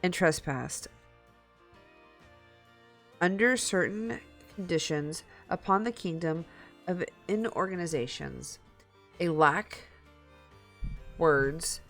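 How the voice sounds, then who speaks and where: A woman streamer reading a story aloud in a dramatic, halting way, slipping into casual asides. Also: on the low side. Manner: halting, repeats and corrects stumbled words.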